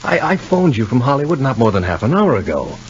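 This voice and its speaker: A man's voice: mid-range voice, sing-song, fast talker, natural voice. An American actor playing a sensible, exasperated, protective man in a 1950s radio soap. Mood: concerned. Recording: muffled, hissy old radio recording.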